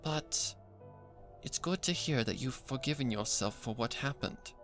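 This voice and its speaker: A man voicing a refined, supportive adult pony mare, composed and warm-hearted, in a fan fiction story reading. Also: mid-range voice, lively intonation, unhurried, soft background music. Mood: warm, approving.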